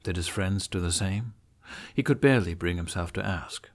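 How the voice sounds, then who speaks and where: Low-voiced man narrating a story. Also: moderate pace. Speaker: podfic narrator. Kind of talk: expressive scripted story narration.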